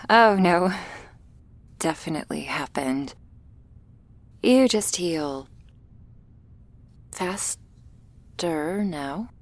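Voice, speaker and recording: A woman performs a shy young female vampire in an ASMR roleplay, anxious and apologetic. Her voice is on the low side and crackles with fry. She talks very slowly and hesitantly, softly and intimately, as if to one listener. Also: animated, no echo, exaggerated voice. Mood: awkward, hesitant. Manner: hesitant, halting, soft-spoken with whispery moments and nervous little laughs.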